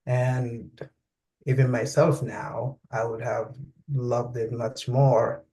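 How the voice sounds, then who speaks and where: A man, speaking English as an in an interview. He talks slowly and deliberately, pausing often to search for the right words, at a middle pitch for a man. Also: flat delivery, dark tone, rough recording. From Saudi Arabia. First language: Arabic. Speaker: guest expert. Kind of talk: interview conversation.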